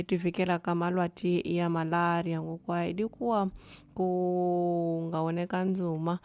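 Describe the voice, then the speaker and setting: Flat, low-voiced woman radio presenter. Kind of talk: radio announcements.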